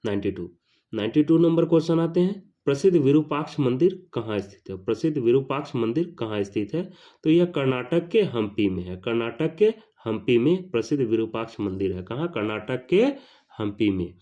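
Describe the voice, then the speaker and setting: A man with an Indian accent delivers an exam lesson as a teacher, in question-and-answer form. His voice is dark and mellow at an average pitch. He repeats questions and answers deliberately, and the recording sounds a little dull. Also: expressive.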